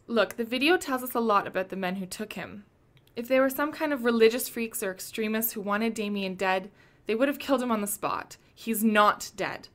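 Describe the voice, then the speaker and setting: A woman's voice: fairly high pitch, moderate pace, fairly bright tone, lightly acted. A woman playing a commanding, protective woman in charge in a radio drama, firm and authoritative. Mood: firm, reassuring. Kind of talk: radio play acting.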